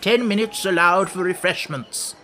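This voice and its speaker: Guy playing a fussy old king from a storybook, muttery, nervy, repeats himself, highish voice. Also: expressive, moderate pace, fairly bright tone, a little hiss or hum. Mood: matter-of-fact.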